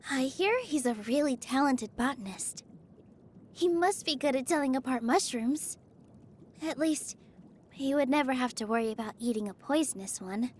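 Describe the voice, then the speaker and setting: An actress voicing a gentle, cheerful young woman dancer in a video game, speaking in a sweet, earnest, easily flustered manner. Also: sits high, lively intonation, normal speed, a little hiss or hum. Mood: cheerful, musing. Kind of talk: video game character voice lines.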